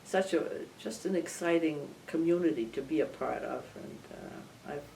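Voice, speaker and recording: An older woman, a composer whose first language was Armenian, talking thoughtfully in an interview with frequent restarts and soft laughs. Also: sits low, moderate pace, creaky, a little hiss or hum. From Belmont area, United States. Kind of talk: casual reflective interview. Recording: recorded from some distance.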